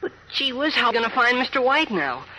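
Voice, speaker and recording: Wide-eyed teen boy reporter, high bright voice, old radio drama, bit noisy. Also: sing-song, fast talker, full character voice. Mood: anxious, startled. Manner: boyish, earnest, wide-eyed. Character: eager, anxious boyish teenage cub reporter. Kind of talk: 1940s radio serial acting.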